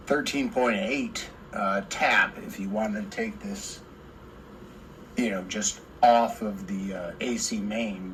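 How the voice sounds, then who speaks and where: A man's voice: fairly low pitch, fairly bright tone, poor audio. A man presenting a casual hands-on radio repair, rambling and repeating himself, enthusiastic about the equipment. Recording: clear background noise.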